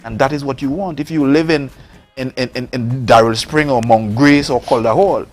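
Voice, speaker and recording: A man from Tobago with a Trinidad and Tobago accent, making a forceful political case on a morning talk show and repeating words for emphasis. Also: medium pitch, moderate pace, faint music behind. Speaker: politician, guest.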